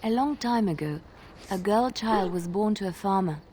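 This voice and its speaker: An actress playing a gentle young woman bard in a video game, telling tales in a measured, expressive way. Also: medium pitch, slight background noise, lightly acted. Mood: earnest storytelling. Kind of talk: video game dialogue, fairy-tale storytelling.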